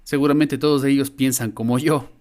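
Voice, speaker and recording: A middle-aged man speaks Spanish with a Latin American accent in a medium-pitched voice. He explains material like a university lecturer teaching online from an indoor room. His delivery is informal, with frequent short check-in questions at the ends of phrases. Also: fairly even intonation, a little fast, a little mellow.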